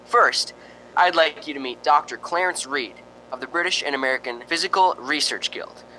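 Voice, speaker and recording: An actor playing a chatty, slightly nervous ghost-hunting radio host in a radio drama, hesitant and conversational. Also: male voice, fairly high pitch, normal speed, sharp tone, a little hiss or hum, poor audio. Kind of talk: radio drama acting, on-air commentary.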